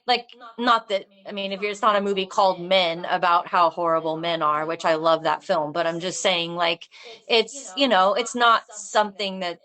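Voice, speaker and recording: A woman talking casually in a panel discussion, thinking aloud in a halting, rambling way. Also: fairly low pitch, fairly even intonation, pushed and bright. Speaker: filmmaker discussing own script.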